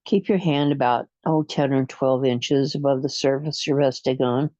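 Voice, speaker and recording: A guide leading a guided imagery relaxation session, speaking in a soothing, hypnotic way with long pauses and slow counting, on a dull lo-fi recording. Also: mid-range voice, steady tone, dark tone, no echo.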